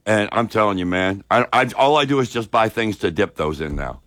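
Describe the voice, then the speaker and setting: A man's voice: fairly low pitch, lively intonation, a bit bright, no room sound, clean studio sound. A man co-hosting a sports radio show, chatting casually and rambling about snacks. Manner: chatty, rambling, quick to laugh.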